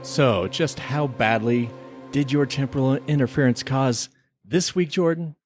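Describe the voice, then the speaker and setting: A man's voice: mid-range voice, a little slow, soft background music. A man hosting a podcast, bantering in a teasing, mock-formal courtroom style. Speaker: podcast host. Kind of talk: semi-scripted mock-tribunal podcast banter.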